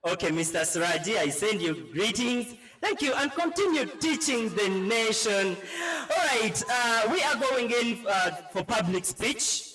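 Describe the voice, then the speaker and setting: Playful man, high voice. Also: expressive, measured pace, few pauses, bright, forceful tone, in a large hall, soft background music, rough recording. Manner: playful, teasing, sing-song delivery. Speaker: TV presenter interviewing students. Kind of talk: casual on-the-spot interview.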